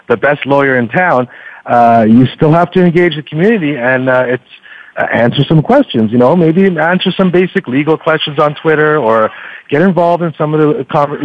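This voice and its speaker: Halting man guest, slightly dull radio sound. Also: on the high side, no echo. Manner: halting, repeats words as thoughts form. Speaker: podcaster guest on a radio show. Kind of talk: conversational interview answers.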